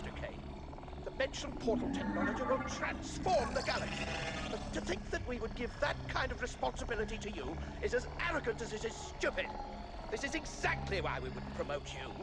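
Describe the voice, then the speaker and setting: A haughty alien executive for a game cutscene. The voice sits high and swings widely with formal, scornful emphasis. Loud noise runs behind it. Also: male voice, big pitch swings, brisk. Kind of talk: video game cutscene acting. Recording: loud background noise, machinery ambience.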